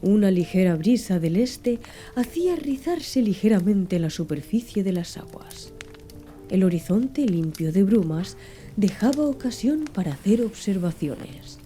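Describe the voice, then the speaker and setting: A woman narrating a classic novel in a hushed ASMR voice, very dark and mellow, unhurried delivery. Her pitch sits in an ordinary middle range. Faint music plays softly beneath her. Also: expressive. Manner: soft, slow, hushed reading. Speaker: audiobook narrator. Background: faint background music.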